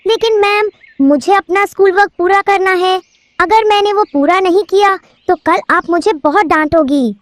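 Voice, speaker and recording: Squeaky little boy birdie, a lady putting it on, cartoon kid, dry sound. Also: moderate pace, no echo. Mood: timid. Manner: childlike, eager. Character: timid young boy bird student. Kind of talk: cartoon voice acting.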